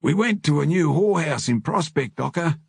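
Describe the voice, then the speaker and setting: A man voicing a matey, blunt male biker in an audiobook, talking in rough slang. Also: fairly high pitch, animated, brisk, no room sound, clean studio sound, voice pushed up, heavily acted. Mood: businesslike. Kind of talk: audiobook character dialogue.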